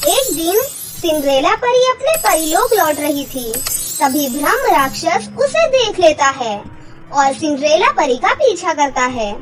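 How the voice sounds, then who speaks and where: Very high-voiced woman narrating slowly. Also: sing-song, music in the background. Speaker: storyteller, narrator. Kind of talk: scripted children's story narration.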